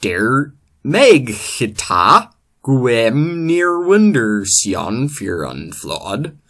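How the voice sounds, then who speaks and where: A man playing a solemn bard who declaims epic verse in a slow, loud, drawn-out recitation. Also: mid-range voice, sing-song, exaggerated voice. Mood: solemn, dramatic. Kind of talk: dramatic Old English verse recitation.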